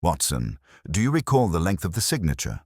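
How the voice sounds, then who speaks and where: A man voicing a keen, analytical Victorian gentleman detective in a story, precise and probing in manner. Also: low voice, wildly swooping pitch, fairly quick, breathy edge, dry recording, clean studio sound, heavily acted. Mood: keen, assured.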